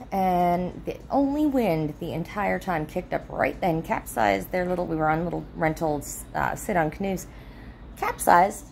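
A woman in her thirties talks casually into a phone held at arm's length, her lowish voice full of gravelly fry. She rambles with plenty of ums and restarts. Faint noise hums under the motel room. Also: a little mellow. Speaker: vlogger. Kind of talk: casual chat to followers.

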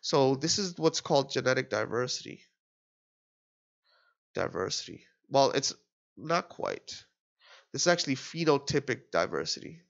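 A man's voice: medium pitch, sing-song, moderate pace, gravelly, long pauses, a bit bright. A man in his thirties working through an exam question as a tutor, sounding nasal from a cold and thinking aloud with fillers and restarts. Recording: dull lo-fi screen recording.

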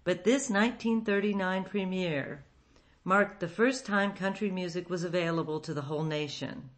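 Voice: medium pitch, normal speed. Radio host reading a scripted introduction. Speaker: host of an old-time radio program.

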